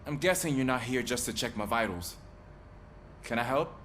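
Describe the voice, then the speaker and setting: A man as an earnest, lively young-sounding cop. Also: mid-range voice, normal speed, a little hiss or hum, close to an everyday voice. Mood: earnest, helpful. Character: earnest, picked-on male police dispatcher. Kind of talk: video game voice acting.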